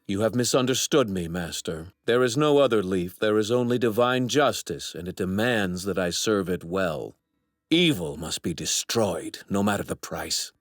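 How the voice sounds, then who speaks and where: A man's voice: fairly low pitch, normal speed, no echo, clean studio sound, lightly acted. A man in an anime dub voicing an arrogant young apprentice god, a righteous villain who speaks formally and precisely with courteous menace. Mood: righteous, defiant. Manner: formal, haughty, precisely worded.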